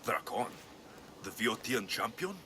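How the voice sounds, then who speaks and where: Wry, bright-voiced mercenary. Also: male voice, on the low side, big pitch swings, measured pace, exaggerated voice. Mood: probing. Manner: dry, sardonic. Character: wry, capable male Greek mercenary for hire. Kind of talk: video game cutscene acting.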